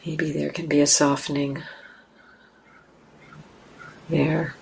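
A woman leading a guided meditation, speaking calmly and gently with long, unhurried pauses. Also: low-pitched, creaky, slight background noise. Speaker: meditation teacher.

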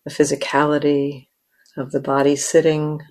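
A woman meditation teacher leading a guided meditation in short, measured phrases with long pauses. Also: sits low, completely flat delivery, a little mellow.

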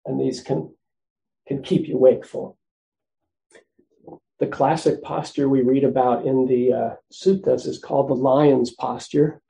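A soft-spoken man teaches meditation, pausing often as he gently explains each posture. His low-energy voice is dark and mellow, with a gravelly edge at the bottom of his range. He talks at an easy, patient pace. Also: fairly even intonation, rough recording. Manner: gentle, unhurried, with frequent pauses and fillers. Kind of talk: guided meditation instruction.

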